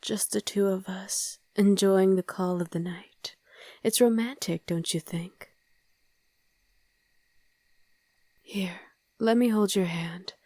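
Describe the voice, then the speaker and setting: Soft, mellow whispering vampire wife, acted by a woman. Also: medium pitch, fairly even intonation, measured pace, no room sound. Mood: tender, affectionate. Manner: soft, breathy, often whispering, affectionate. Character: tender, doting, playfully teasing female vampire wife. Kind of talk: intimate ASMR roleplay.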